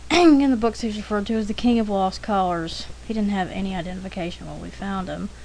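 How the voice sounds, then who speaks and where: A woman's voice: medium pitch, fairly quick, a little mellow, a little hiss or hum, poor audio. A woman giving casual, rambling, anecdotal commentary as an artist, in a chatty, informal manner. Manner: chatty, informal, frequent throat clearing. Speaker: artist narrating a drawing demonstration.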